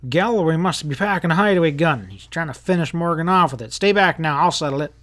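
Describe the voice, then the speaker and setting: A man's voice: on the high side, animated, fast talker, a bit bright, pitched above the performer's own voice. A man voicing a bold cowboy lawman in a western story, snapping out commands. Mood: urgent.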